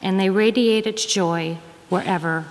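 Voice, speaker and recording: A middle-aged woman, a guest minister, reading aloud to a congregation at a lectern, measured and deliberate, phrase by phrase. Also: mid-range voice, slight background noise. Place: large wood-panelled church hall. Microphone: lectern gooseneck microphone. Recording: reverberant church hall.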